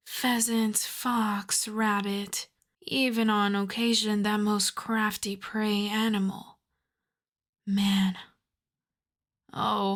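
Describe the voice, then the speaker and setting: A woman acts out an aristocratic vampire countess, an older predatory lady with a mid-pitched voice. She speaks very slowly and deliberately, haughty and teasing, slipping toward breathy whispers in an intimate ASMR roleplay style. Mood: wistful, menacing. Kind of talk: ASMR roleplay, slow theatrical monologue.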